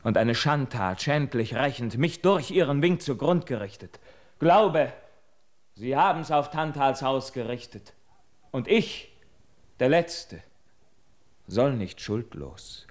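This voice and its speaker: An actor playing a young man haunted by guilt, declaiming classical verse drama in a solemn, measured way. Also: medium pitch, lively intonation. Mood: bitter despair.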